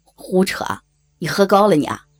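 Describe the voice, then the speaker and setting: A man's voice: high-pitched, expressive, moderate pace, faint music behind. An actor playing a coarse, leering rural man with a Northeastern Chinese accent, blunt and pressing, in an audio drama. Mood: gruff, dismissive. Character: coarse, leering rural brother-in-law, domineering and cajoling.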